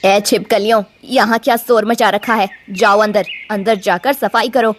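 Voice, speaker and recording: A woman's voice: medium pitch, steady tone, normal speed, slight background noise, close to an everyday voice. Woman playing a stern, greedy old grandmother bird. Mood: scolding. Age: older. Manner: scolding, complaining, dismissive. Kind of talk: Hindi children's cartoon voice acting.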